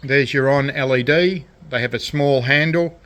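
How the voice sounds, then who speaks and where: A man giving an unscripted hands-on demonstration of a DIY electronics project, with a halting, rambling delivery. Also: medium pitch, a bit bright. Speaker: DIY electronics hobbyist presenting his project. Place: indoor radio shack workbench.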